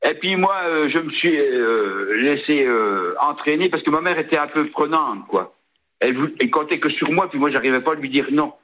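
A man in his sixties with a regional eastern French accent, calling in to a late-night radio show. His voice sits a bit high for a man and comes through a thin, tinny phone line. He talks haltingly and fills his pauses with "euh". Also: expressive. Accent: Vosges French. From Vosges, France. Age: 60s. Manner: hesitant, many fillers and restarts. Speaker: caller.

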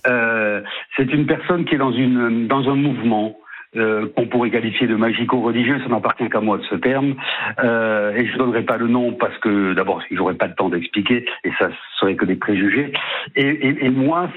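A man in his fifties calling into a radio show, tired and halting, repeating words as he rambles. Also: medium pitch. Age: 50s. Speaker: caller. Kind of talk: phone-in confiding. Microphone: phone. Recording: tinny phone line.

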